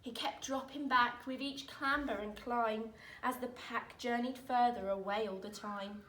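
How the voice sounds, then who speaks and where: Slow, echoey woman reading a children's story. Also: fairly high pitch, fairly even intonation, slight background noise. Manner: dramatic, deliberate phrasing with emphatic swells and hushes. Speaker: storyteller reading aloud, teacher. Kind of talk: expressive children's picture-book read-aloud in rhyme. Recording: roomy echo, distant microphone.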